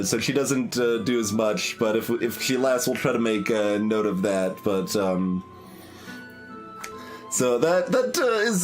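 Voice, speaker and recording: A man streaming a game playthrough, talking casually to viewers in a hesitant, rambling way as he thinks aloud. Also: medium pitch.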